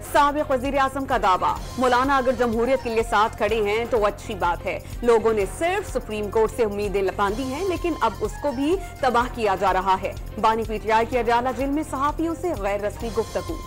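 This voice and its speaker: Woman, Pakistani Urdu accent, TV headline reader, crisp formal delivery, faint music underneath. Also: a little high, brisk, few pauses. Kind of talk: scripted news headlines. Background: news music bed.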